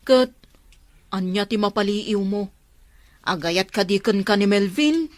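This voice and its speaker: A woman acting a sociable, inquisitive young confidante in an audio drama, with an Ilocano accent and expressive, probing delivery. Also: medium pitch, a little slow, dry recording, lightly acted. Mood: curious, concerned.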